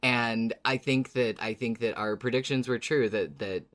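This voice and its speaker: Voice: medium pitch, moderate pace, a bit bright. A podcast co-host chatting casually in a fan recap, effusive and dramatic, sometimes feeling out a thought mid-sentence.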